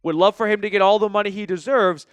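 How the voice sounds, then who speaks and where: A man's voice: sits high, steady tone, fast talker, clear, resonant tone, no room sound, highs cut off. An American man hosting a sports show, giving opinionated, emphatic commentary point by point in a conversational style aimed at viewers.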